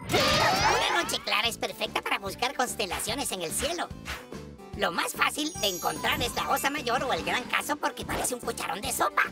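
A woman's voice: a little high, sing-song, normal speed. A woman reading scripted educational narration for a children's cartoon. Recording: music behind the voice.

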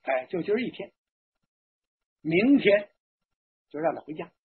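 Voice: medium pitch, very animated, unhurried, husky, phone-quality sound, heavily acted. A storyteller voicing a stern young husband in a traditional tale, laying down terms in a curt, commanding way. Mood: stern, cold.

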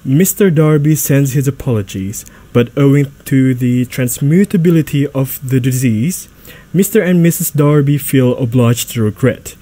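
A man playing a formal, deferential household butler in a radio drama, speaking politely and with measured words. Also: medium pitch, warm tone, natural voice. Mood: formal, dutiful. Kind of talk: stage-like radio drama acting.

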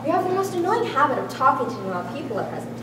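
A woman's voice: high voice, very animated, racing. A young woman in an amateur stage play, playing a wife's poised, calculating social mask with suave, formal diction. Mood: haughty, cool. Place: large school hall with small audience. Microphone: far camera. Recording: echoey hall, distant camera, room noise.

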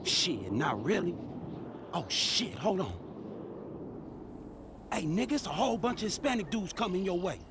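An actor playing a sceptical, level-headed, street-smart American friend in a game cutscene, casual and slangy. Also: male voice, sits high, sing-song, moderate pace, pauses often, noisy background. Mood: wary. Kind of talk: video game cutscene acting.

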